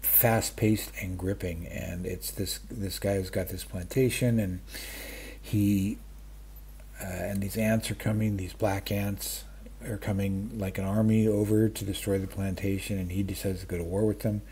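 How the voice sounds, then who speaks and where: A man in his fifties or older chatting casually about stories, halting and interrupting himself in a self-deprecating way, with a husky voice. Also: deep voice, flat delivery, dark tone, slight background noise. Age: 50s or older. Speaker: book vlogger. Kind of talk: casual rambling chat about stories and radio. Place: small room at home, indoors. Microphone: webcam framing, wearing wired earphones.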